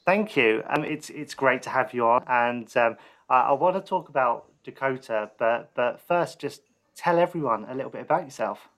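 A man's voice: mid-range voice, lively intonation, moderate pace, fairly bright tone. A man hosting a podcast and chatting casually with a guest. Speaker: podcast host, interviewer. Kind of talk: casual film podcast interview.

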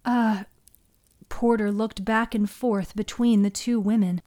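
A woman's voice: medium pitch, steady tone, unhurried, dark tone. A woman reading scripted narration for a spooky fiction podcast. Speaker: writer, narrator and producer.

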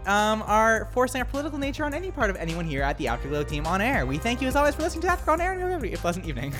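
A young woman from outside Boston with a Boston accent, hosting a casual podcast chat, playful and quick to laugh. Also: a little high, big pitch swings, music in the background. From outside Boston, Massachusetts. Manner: playful, laughs often, mock-formal asides. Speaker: podcast host.

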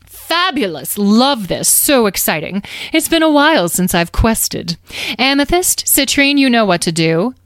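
A woman's voice: on the high side, sing-song, measured pace, fairly bright tone, no echo, voice pushed up, exaggerated voice. Woman voicing a bossy, theatrical fairy leader. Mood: bossy, excited. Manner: grandiose, gushing, emphatic, sarcastic.

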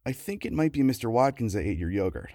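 An actor playing a wry, affectionate boyfriend with a dry, understated delivery in a comedic audio drama. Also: male voice, mid-range voice, very animated, fast talker, dark tone, natural voice. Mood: apologetic, tender.

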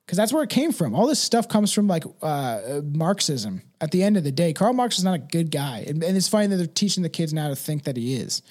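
A man's voice: fairly high pitch, very animated, brisk, few pauses, a little mellow. A man hosting a podcast in casual, opinionated chat, speaking bluntly and emphatically, swearing freely and tagging phrases with "right" and "man". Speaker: podcast host.

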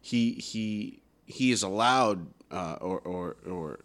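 A man's voice: fairly low pitch, takes it slowly, a bit bright. A man hosting an interview who restarts and stammers often as he forms questions. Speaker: podcast host. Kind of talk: casual interview.

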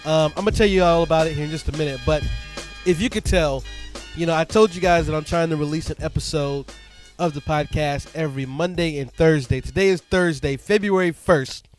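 A man's voice: a little high, fairly quick, faint music behind. A male podcast host and DJ with a stuffed-up nose, talking casually and loosely with lots of fillers in a studio. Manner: relaxed and chatty, frequent "um" and "man". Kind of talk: casual solo podcast talk.

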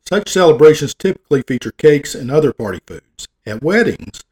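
A man's voice: a little high, very animated, brisk, darkish tone. A man with a Southern American accent reading out facts and stopping for folksy jokes, sometimes tripping over long words. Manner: folksy, wisecracking, stumbles over hard words. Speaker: presenter. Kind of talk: reading facts aloud with joking asides.

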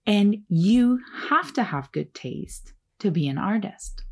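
A woman whose first language is Turkish, musing aloud in an unhurried solo talk about taste and making art. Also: mid-range voice, expressive, darkish tone, slightly rough. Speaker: artist sharing creative advice.

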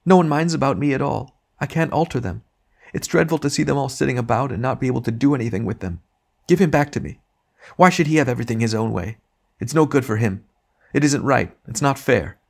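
A man's voice: medium pitch, expressive, fairly quick, gravelly, pitched above the performer's own voice. A man voicing the ghost of a domineering wife, complaining and self-righteous in an audiobook reading. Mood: pleading, demanding.

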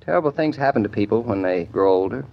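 A man's voice: lowish voice, expressive, quick pace, creaky, a little hiss or hum. An actor playing a genial husband in his forties in a 1950s radio comedy, with a hesitant, drily amused delivery. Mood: dryly rueful. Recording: dull old radio broadcast recording.

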